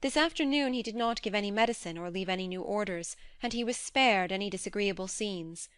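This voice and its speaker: A woman's voice: mid-range voice, a little fast, fairly bright tone, clear, resonant tone, dry recording. A woman reading scripted audiobook narration as the narrator of a classic story.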